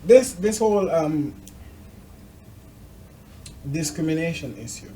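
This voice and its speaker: A man hosting a conversational discussion, probing and measured, building his points in short phrases. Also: on the high side, animated, mellow, a little hiss or hum, poor audio. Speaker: interviewer, host.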